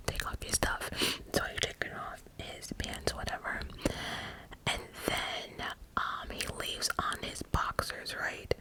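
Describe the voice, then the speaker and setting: A woman in her forties telling a frank, self-mocking story in a steady whisper, full of asides. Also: rough recording. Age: 40s. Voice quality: unvoiced whisper throughout. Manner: candid, frank, laughs at herself, many asides.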